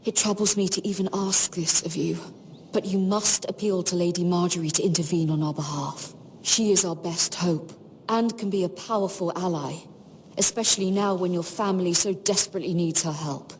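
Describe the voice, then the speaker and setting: A woman's voice: lowish voice, a little mellow, slight background noise, natural voice. An actress playing a worried, dignified middle-aged noblewoman in a fantasy game, speaking formally and earnestly. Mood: troubled, pleading. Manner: formal, measured, pleading. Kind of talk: dramatic video game acting.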